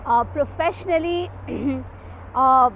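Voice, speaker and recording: A woman's voice: high voice, takes it slowly. A woman with an Indian accent giving reflective, slightly hesitant answers in an interview. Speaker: psychotherapist, conference participant. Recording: muffled sound with clear background noise.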